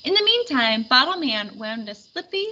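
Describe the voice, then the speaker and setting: A woman reading a children's picture book aloud with expressive storytelling. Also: sits high, moderate pace, muffled recording, slight background noise. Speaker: storybook reader.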